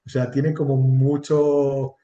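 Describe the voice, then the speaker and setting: A man with a Spanish accent from Spain talks casually and candidly as an interview guest. His voice is dark and relaxed at a medium pitch. The sound is roomy and slightly dull. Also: slow. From Extremadura, Spain. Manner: colloquial and candid, with mild swearing. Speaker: interview guest, chatbot marketing entrepreneur.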